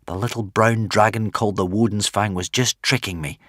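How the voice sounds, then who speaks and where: A man doing a hushed, despairing boy. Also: lowish voice, steady tone, fairly quick, dry recording, studio quality. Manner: whispering, hesitant, self-doubting. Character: young boy hero, despairing and shaken.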